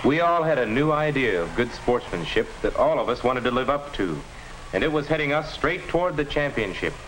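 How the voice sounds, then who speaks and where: Casting: sincere American high schooler recounting school days for a 1950s classroom film, folksy delivery, noisy vintage audio. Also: male voice, big pitch swings, a little fast, a bit bright. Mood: earnest, nostalgic. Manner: earnest, folksy. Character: earnest high school boy recalling his school. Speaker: narrator. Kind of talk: 1950s educational film narration, scripted first-person storytelling.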